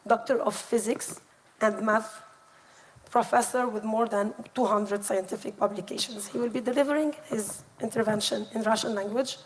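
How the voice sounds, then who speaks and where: A woman's voice: fairly high pitch, steady tone, moderate pace, slight background noise, rough recording. A woman moderating a symposium session, introducing a speaker politely and formally.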